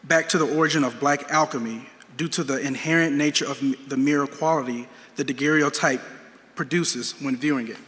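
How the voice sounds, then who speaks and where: A man speaks with a bright, forceful tone, each word ringing out through the long echo of a large hall. His delivery is measured and reflective, a prepared talk about his art that moves through questions at an even pace. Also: medium pitch. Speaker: artist giving a talk. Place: museum auditorium.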